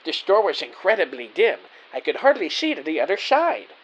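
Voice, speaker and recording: Audio drama lead: man acting a naive, excitable detective narrator, theatrical and earnest, husky mid-range voice with big pitch swings. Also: brisk, muffled recording, heavily acted. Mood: earnest narrating. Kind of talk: first-person scripted audio drama narration.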